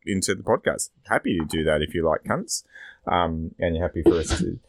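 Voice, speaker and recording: A man's voice: sits low, animated, brisk. A man with an Australian accent speaking as a podcast host in a casual, rambling, opinionated discussion. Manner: rambling, sweary.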